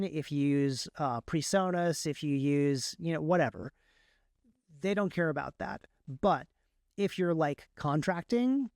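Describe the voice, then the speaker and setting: A man's voice: on the high side, clean tone, dry recording, clean studio sound. A man who works as an audiobook narrator, chatting casually and technically as a podcast guest in a rambling, enthusiastic way.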